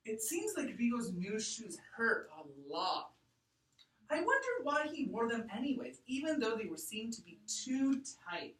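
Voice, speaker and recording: Woman teacher in an echoey room. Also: fairly high pitch. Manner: deliberate, expressive, thinking aloud. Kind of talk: read-aloud lesson to a class. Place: classroom. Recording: echoey room, distant mic.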